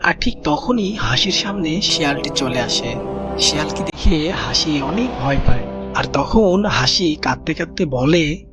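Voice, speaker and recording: A man's voice: on the high side, lively intonation, a little slow, music in the background. A man narrating a scripted children's story as the storyteller.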